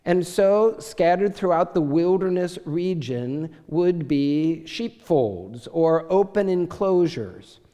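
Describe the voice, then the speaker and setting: Slow, mellow-voiced preacher in a reverberant sanctuary. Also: male voice, fairly high pitch, animated. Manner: measured, storytelling preacher. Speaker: pastor. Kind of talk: sermon. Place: church sanctuary.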